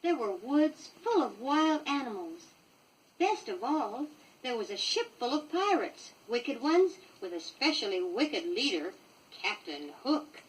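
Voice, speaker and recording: Female American storybook narrator for children, highish and very animated delivery, noisy vintage 1960s record. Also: measured pace, recorded from a distance. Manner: expressive, clearly paced storytelling for young children. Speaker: storybook reader.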